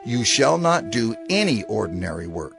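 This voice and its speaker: A man reading scripture as dramatized narration in a solemn, deliberate, declamatory way. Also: lowish voice, big pitch swings, creaky, a bit bright. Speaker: scripture reader. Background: music.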